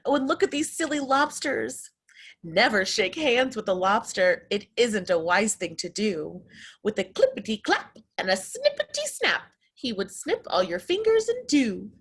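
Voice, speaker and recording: A woman reading a picture book to young children, expressive and exclamatory, addressing her listeners directly. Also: a little high, a little slow, breathy edge. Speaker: children's story reader.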